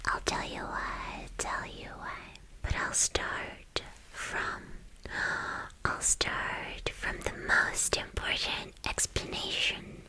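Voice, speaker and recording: A woman playing a playful, boastful young female villain in an ASMR roleplay, whispering breathily and teasingly with halting, repeated phrases. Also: natural voice, poor audio. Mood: playful, coaxing.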